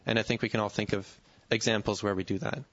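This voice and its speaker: A man with a fairly low voice walks a Bible study group through a passage, pausing often on filler sounds. The sound is dull and lo-fi. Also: level tone, fairly bright tone. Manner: explanatory, with many "um" fillers.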